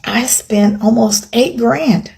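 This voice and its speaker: A woman's voice: a little high, takes it slowly, vocal fry, a little mellow. An American woman vlogger talking casually about her own life in a chatty, emphatic, opinionated way. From United States. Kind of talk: casual personal vlog talk.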